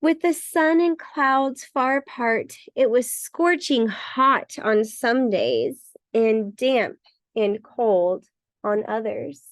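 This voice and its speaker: High-voiced woman reading a children's story, unhurried. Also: no echo. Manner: expressive, emphatic with repeated words. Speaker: storybook reader. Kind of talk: children's bedtime story narration.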